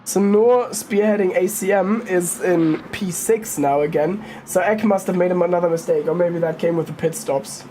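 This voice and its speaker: A woman's voice: lowish voice, moderate pace, hardly any pauses, mellow, slight background noise. A woman giving casual live commentary on a sim race, thinking aloud and correcting herself as she goes. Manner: informal, thinking aloud, hesitant self-correcting.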